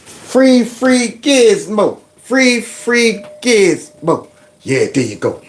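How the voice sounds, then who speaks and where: A middle-aged man hosting a commentary show, mocking and animated with loud outbursts. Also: high voice, very slow. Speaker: host. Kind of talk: commentary vlog reacting to clips.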